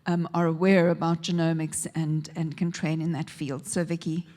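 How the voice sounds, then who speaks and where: A South African woman chairing a conference session in a low, even voice. She speaks in a measured way, with little rise and fall. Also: a little hiss or hum. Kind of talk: introducing speakers.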